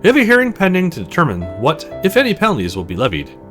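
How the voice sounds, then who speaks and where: A man's voice: on the high side, wildly swooping pitch, fast talker, music in the background, pitched above the performer's own voice. A man voicing an upbeat male legal advisor in a visual novel, formal and explanatory. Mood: reassuring.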